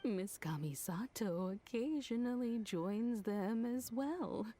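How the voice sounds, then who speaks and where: An actress playing a kindly old female servant in a video game, polite, affectionate and gently effusive. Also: fairly high pitch, slow, slight background noise. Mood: fond.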